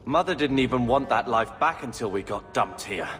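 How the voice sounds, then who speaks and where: A man performs a young younger brother in a game drama, bitter and chafing in another's shadow. His mid-pitched delivery is sarcastic and aggrieved, with long reverb. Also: expressive, moderate pace, fairly bright tone, close to an everyday voice. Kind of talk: dramatic video game acting. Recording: long reverb with background music.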